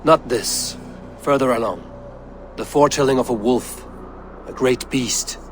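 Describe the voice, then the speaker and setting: An actor playing a proud, defiant Norse god and war leader in a dramatic video game. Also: male voice, medium pitch, unhurried, slight background noise, natural voice. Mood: impatient, grave.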